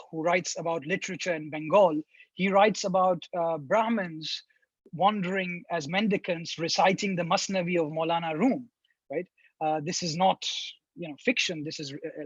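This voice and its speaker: A man talks in a seminar, weighing each idea through restarts and fillers. His voice is husky and sits fairly high for a man. The sound is dry and a little muffled, like call audio. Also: no echo. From India. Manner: thoughtful, many restarts and fillers. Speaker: professor answering questions. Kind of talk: academic seminar talk.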